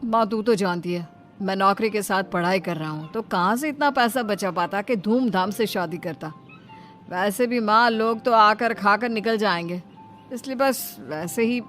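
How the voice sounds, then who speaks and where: A woman's voice: mid-range voice, moderate pace, fairly bright tone, lightly acted. Woman voicing a dutiful, reasonable grown son. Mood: earnest. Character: dutiful, reasonable thirty-year-old son. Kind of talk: Hindi moral story dramatization. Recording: music behind the voice.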